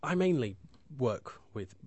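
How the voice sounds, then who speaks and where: A middle-aged man presenting a radio music show in a relaxed, casual way, often pausing with fillers. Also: medium pitch, dry recording.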